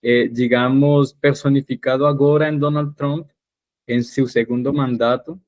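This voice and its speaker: Colombian man, Spanish native, analyst speaking halting Portuguese with Spanish slips, dry close sound. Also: medium pitch, fairly even intonation, darkish tone, dry recording, dull audio. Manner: mixes Spanish into his Portuguese, with frequent "digamos" fillers. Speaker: guest analyst. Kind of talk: political commentary.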